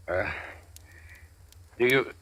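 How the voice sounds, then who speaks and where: An actor playing a bold, emphatic preacher in a 1940s radio drama. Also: male voice, mid-range voice, vocal fry, a bit bright, slightly rough, lo-fi sound, heavily acted. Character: brash middle-aged male preacher. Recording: old radio broadcast with music.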